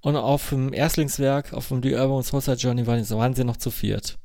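A man's voice: medium pitch, steady tone, a little fast, warm tone, no echo, clean studio sound. A man hosting a casual podcast quiz, teasing a little and repeating himself for emphasis. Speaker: podcast host running a quiz. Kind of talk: casual quizmaster chat.

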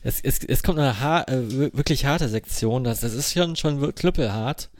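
A man's voice: medium pitch, moderate pace, a little mellow. A man hosting a casual podcast quiz, teasing a little and repeating himself for emphasis. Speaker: podcast host running a quiz. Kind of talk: casual quizmaster chat.

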